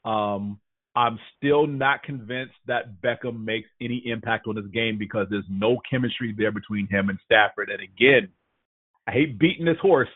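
A man's voice: lowish voice, moderate pace, no room sound. Tinny-sounding male sports podcaster. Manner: talks through injury and ranking news. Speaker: podcast co-host. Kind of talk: casual sports podcast chat. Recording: tinny phone-like dry sound.

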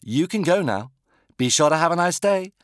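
A man's voice: fairly high pitch, lively intonation, normal speed, dry recording, voice pushed up, lightly acted. A man playing a brusque, sardonic woman doctor in an audiobook novel, curt and dry. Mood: sarcastic, dismissive.

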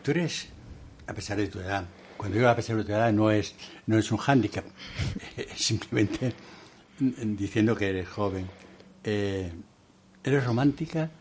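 A man from interviewing a guest in a relaxed conversation, asking questions with playful, joking asides. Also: lowish voice, a little slow, slight background noise. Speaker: interviewer, writer.

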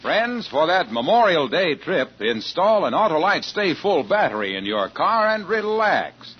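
A man's voice: on the high side, sing-song, unhurried, music in the background. A man announcing a sponsor's message in the style of a 1940s radio commercial. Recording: muffled old radio recording, faint noise.

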